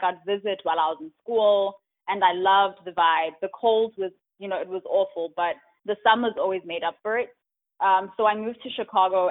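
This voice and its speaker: A woman from Africa, speaking as an interview guest in a thoughtful, casual way, often pausing on "um" and checking in with "right?". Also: lowish voice. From South Africa. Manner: thoughtful, many "um"s and "right?" tags. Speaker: guest, tech community co-founder. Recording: tinny phone-like line.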